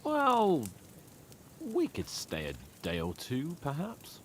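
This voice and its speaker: A man's voice: a little high, wildly swooping pitch, slow, a little hiss or hum, exaggerated voice. An actor playing a young medieval nobleman in a game, commanding and exasperated, chiding his companions in a teasing way. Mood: measured. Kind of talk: video game cutscene acting.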